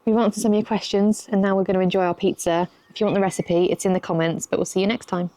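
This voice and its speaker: A woman's voice: mid-range voice, level tone, fast talker, dark tone, dry recording. A young woman, casually reading out viewer questions and answering in an easygoing way. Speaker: vlogger. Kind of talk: casual Q&A chat.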